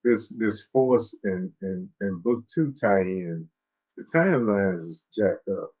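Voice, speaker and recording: A man's voice: fairly low pitch, sing-song, slow, darkish tone, highs cut off. A man co-hosting a casual chat about a TV drama, laid-back, often restarting his sentences. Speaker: co-host of a TV discussion show. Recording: faint music behind.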